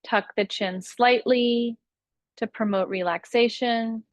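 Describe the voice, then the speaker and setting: A woman's voice: a little high, steady tone, dry recording. Woman guiding meditation, very dry close sound. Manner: slow, soothing, unhurried instruction with long pauses. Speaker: yoga nidra meditation teacher. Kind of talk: guided relaxation meditation.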